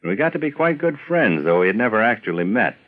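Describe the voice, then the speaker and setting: A man's voice: fairly low pitch, sing-song, quick pace, phone-quality sound. An older man narrating a scripted documentary with some personal reminiscence, as a storyteller of past times.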